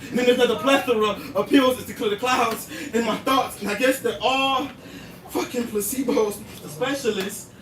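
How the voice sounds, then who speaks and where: A man's voice: very high voice, steady tone, normal speed. A man performing a spoken word poem at a slam, with a rhythmic, intense delivery full of wordplay, recorded live at an indoor venue. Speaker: slam poet. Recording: roomy, distant live venue sound with noise.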